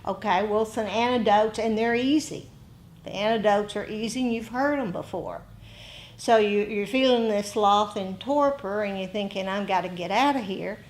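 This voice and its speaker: An American woman giving an informal dharma talk to a group as a meditation teacher, conversational, with frequent pauses between short phrases. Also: mid-range voice.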